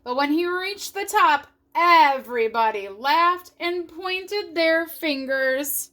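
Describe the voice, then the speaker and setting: Very animated, high-voiced woman reading aloud. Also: takes it slowly. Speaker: storybook reader. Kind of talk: animated children's read-aloud narration.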